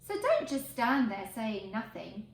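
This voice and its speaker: A woman reading a picture book aloud to children in an expressive, emphatic way. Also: on the high side, unhurried, vocal fry. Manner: expressive, emphatic phrasing for young listeners. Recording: roomy echo, faint background noise.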